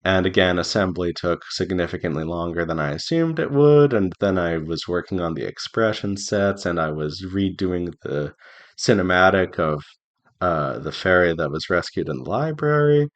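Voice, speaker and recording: A man giving an informal devlog update as an indie game developer, speaking haltingly as he thinks aloud. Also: deep voice, animated. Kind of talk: informal progress update to viewers.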